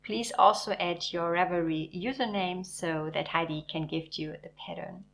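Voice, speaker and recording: A woman hosting a knitting channel, narrating in a warm, enthusiastic, measured way. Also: fairly low pitch, steady tone, creaky, slight background noise. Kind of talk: scripted video narration.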